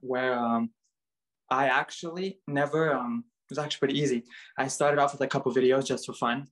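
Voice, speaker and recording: A man's voice: mid-range voice, fairly even intonation, brisk, fairly bright tone. A young man raised in Canada chats easily as an interview guest. His talk is loose and rambling, scattered with "like" and "um". His voice sits in the middle of the male range. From Bulgaria, raised in Canada. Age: young adult. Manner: chatty, many fillers like "like" and "um". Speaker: interview guest, model and dancer. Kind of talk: casual chat.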